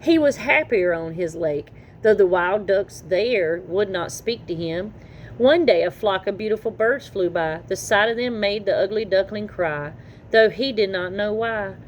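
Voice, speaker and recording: A woman reading a children's story aloud in an expressive, animated storytelling manner. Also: mid-range voice, a little slow, rough recording. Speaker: children's story reader and channel host. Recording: noticeable background noise.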